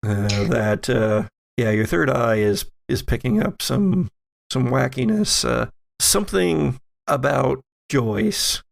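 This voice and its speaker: A man's voice: medium pitch, animated, slow pace, vocal fry. A man narrating a tabletop roleplaying game as the game master.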